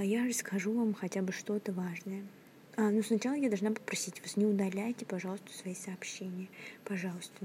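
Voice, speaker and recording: A young woman muses into her phone from her workplace, drifting between normal speech and a half-whisper as she hesitates and restarts. Her voice is soft and mellow at a middling pitch. A faint low rumble sits underneath. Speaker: podcast host. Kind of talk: casual rambling monologue.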